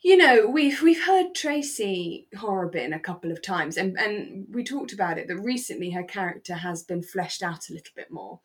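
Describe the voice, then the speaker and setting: This is a British woman co-hosting a relaxed podcast chat. She talks in an expressive, emphatic way, with a mid-range voice for a woman. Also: mid-range voice, normal speed, few pauses. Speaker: podcast co-host. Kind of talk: casual chat.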